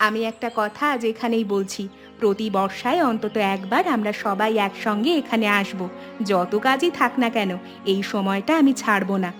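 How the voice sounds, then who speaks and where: A woman playing a warm, remorseful grown-up elder sister in an animated family drama. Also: medium pitch, moderate pace, soft background music, natural voice. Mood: nostalgic, resolved.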